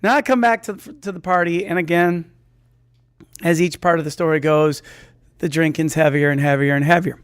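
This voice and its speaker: A man's voice: sits high, dry recording, clean studio sound. A man telling a comic true story in a conversational, self-interrupting way, as a storyteller on stage.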